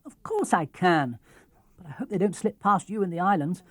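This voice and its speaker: A plucky young girl voiced by a man reader in a storybook. The voice lifts high and swings widely, eager and emphatic. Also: big pitch swings, moderate pace, no echo. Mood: confident.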